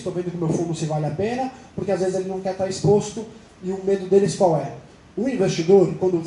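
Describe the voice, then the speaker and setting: Brazilian man, company rep at creditors' meeting, fast explainer; high, dark-toned, in a roomy space. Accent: Brazilian Portuguese. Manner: explanatory, fast, quoting others in dialogue. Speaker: company representative presenting to creditors. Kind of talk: business presentation. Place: meeting room. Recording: roomy hall, light noise.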